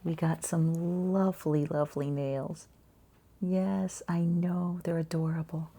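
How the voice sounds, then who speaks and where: A woman talking quietly in an ASMR shop roleplay, gentle and chatty, with a soft, breathy voice that often drops toward a whisper. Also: on the low side, fairly even intonation, takes it slowly, a little hiss or hum. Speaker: ASMR roleplayer as boutique shopkeeper and channel host.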